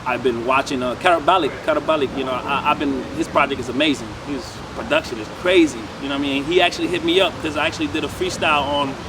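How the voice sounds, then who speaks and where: Fast-talking rapper outdoors by loud traffic. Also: male voice, fairly high pitch. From Senegal. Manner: fast, animated, loose with many fillers. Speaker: rapper guest. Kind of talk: casual interview chat.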